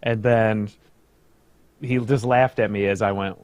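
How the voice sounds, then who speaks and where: A man's voice: lowish voice, fairly even intonation, measured pace, a little mellow, smooth, dry recording. A man chatting casually and telling anecdotes on a video call.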